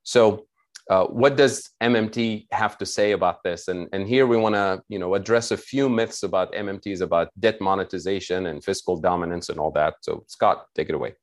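A man's voice: sits low, fairly quick. A man from Tunisia giving an academic presentation on economic policy, explaining in a methodical way with frequent restarts.

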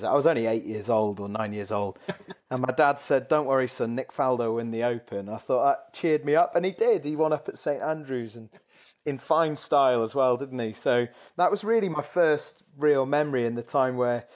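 A man's voice: medium pitch, a little mellow. A man in his thirties from England, a podcast guest reminiscing casually with stammered starts. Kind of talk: casual chat.

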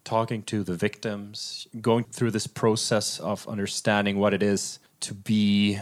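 Low-voiced man discussing film. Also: monotone, a little slow. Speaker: film podcast co-host.

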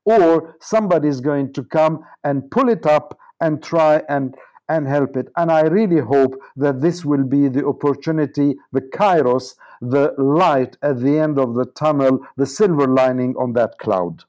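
A man's voice: a little high, unhurried, darkish tone, muffled recording. A man speaking as an interview guest, giving earnest, discursive political commentary with emphatic lists and repetition.

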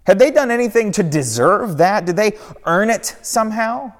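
A man's voice: sits high, expressive, moderate pace, voice pushed up. A man voicing Jesus, earnest and raised. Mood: impassioned. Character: Jesus, a gentle and firm man. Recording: long echoey hall reverb.

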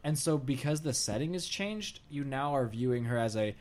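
A man's voice: medium pitch, normal speed. A male podcast co-host with a mid-range voice that moves up and down a lot. He chats casually and animatedly with friends, often acting out what someone might say. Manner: animated, acts out imagined dialogue. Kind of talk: casual group chat.

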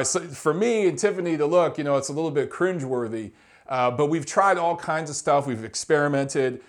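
A man hosting his own show, talking casually and chattily to the camera. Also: mid-range voice. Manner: relaxed and chatty, with fillers. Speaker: show host.

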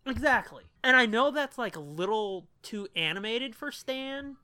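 A man's voice: very high-pitched, animated, normal speed, bright, forceful tone, dry recording. A man hosting a casual podcast, chatting in slangy language with plenty of swearing. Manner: profane, slangy. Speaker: podcast host.

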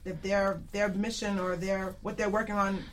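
A woman's voice: mid-range voice, little pitch movement, moderate pace, slight background noise. A woman hosting a radio talk show in an informal, conversational chatting style. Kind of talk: casual talk show chat.

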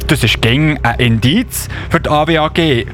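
A man as an excitable high-pitched little elf policeman. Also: faint music behind, lightly acted. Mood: explaining, flustered. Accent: Swiss German. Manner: halting, excitable, often interjecting excuses. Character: eager little male Christmas elf policeman, bumbling but helpful. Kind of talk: children's Christmas radio play acting.

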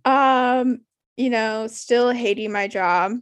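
A young woman co-hosting a casual podcast, speaking candidly with many pauses and ums on a makeshift home mic. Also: fairly high pitch. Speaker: podcast co-host. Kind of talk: casual chat. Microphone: improvised home mic.

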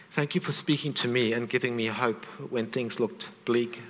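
A man's voice: mid-range voice, fairly bright tone, long reverb tail. A man preaching a sermon, earnest and conversational, with asides to the congregation.

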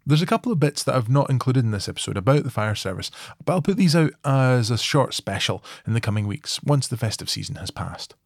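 A man's voice: mid-range voice, expressive, fast, vocal fry, hardly any pauses, dry recording, clean studio sound. A man, hosting a podcast, introducing the episode and interviewing in a friendly, conversational way. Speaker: podcast host.

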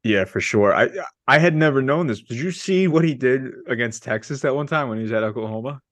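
A young man hosting a casual sports podcast, talking eagerly and telling stories with excitement. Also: medium pitch, a little fast, dry recording. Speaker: podcast host.

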